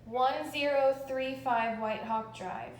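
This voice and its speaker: A woman's voice: high voice, steady tone, slow, slight background noise. A woman calling in a complaint over the phone, explaining insistently and in detail. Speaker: caller making a complaint to police. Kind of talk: phone call, recounting a complaint. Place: recorded phone call, echoey. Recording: echoey phone call recording.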